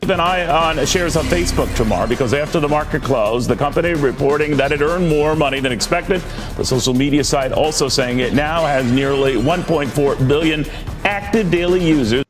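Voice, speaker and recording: A man's voice: a little high, brisk. A man reading scripted business news as a television presenter. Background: music.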